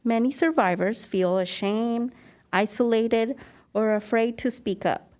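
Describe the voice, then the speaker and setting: A woman getting over a cold, an elder abuse advocate giving an earnest prepared presentation at a podium mic in a council chamber, clearing her throat now and then. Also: on the high side, measured pace, a little mellow. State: recovering from a cold. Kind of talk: formal presentation to a commission.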